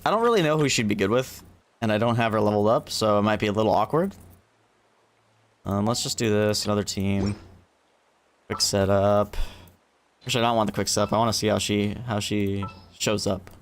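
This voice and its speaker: A man's voice: fairly low pitch, brisk, pauses often, a little hiss or hum. A man giving casual livestream commentary on a video game, thinking aloud and questioning himself as he plays.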